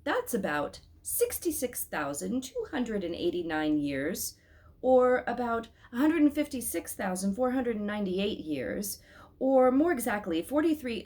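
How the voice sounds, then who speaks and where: A woman's voice: mid-range voice, a little mellow, voice pushed up, lightly acted. A woman voicing a male wise king who reasons in a precise, pedantic way.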